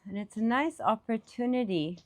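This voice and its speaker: A woman's voice: on the high side, expressive, moderate pace. Woman guiding a meditation. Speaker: meditation teacher. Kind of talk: guided meditation talk, spoken off the cuff.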